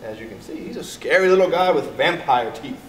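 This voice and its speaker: Middle-aged male storyteller, expressive and deliberate for young children, slightly high voice, very roomy off-mic sound. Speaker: elementary school staff member reading to children. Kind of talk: animated children's storybook read-aloud. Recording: echoey, distant mic with background noise.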